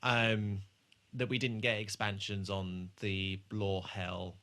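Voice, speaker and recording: A man with a fairly low voice hosts a podcast. He talks in a casual, conversational way as he discusses an episode. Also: flat delivery, slow pace, sharp tone. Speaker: podcast host.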